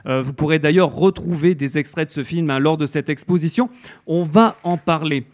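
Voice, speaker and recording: A man interviewing a guest on a French radio show, halting and restarting often. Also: fairly high pitch. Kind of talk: presenting an exhibition and guest.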